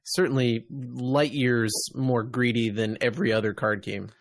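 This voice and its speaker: A man's voice: mid-range voice, level tone, unhurried, no echo. A man hosting a casual gaming podcast, speaking in a wry, conversational way. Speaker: podcast host.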